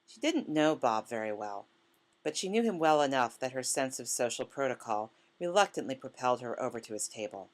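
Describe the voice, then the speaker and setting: A woman reading literary fiction aloud as scripted narration, in a measured manner. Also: very deep voice, big pitch swings. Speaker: author reading a short story.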